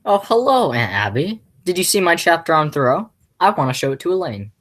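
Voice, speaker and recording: A teenage woman playing an agitated, horrified young man who stammers and exclaims in a high school radio play. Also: very animated, a little fast. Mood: distracted, then shocked.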